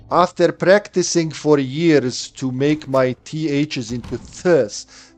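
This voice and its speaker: Guy in his late 30s streaming a horror game, mid-pitch voice, talks to chat, soft music underneath. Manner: chatty, thinks aloud and answers chat. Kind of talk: live gameplay commentary.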